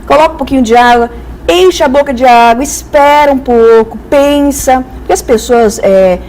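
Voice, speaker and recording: A woman's voice: high voice, moderate pace, darkish tone, poor audio. A Brazilian woman speaking as an expert guest in a conversational, didactic way, illustrating with acted-out examples. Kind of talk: conversational explanatory interview.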